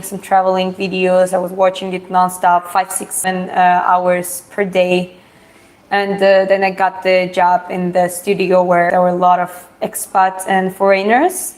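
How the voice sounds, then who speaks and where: Woman chatting casually. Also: medium pitch. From Georgia. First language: Russian. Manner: hesitant phrasing, reads out viewer questions then answers frankly. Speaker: live stream host. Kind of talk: casual live Q&A chat.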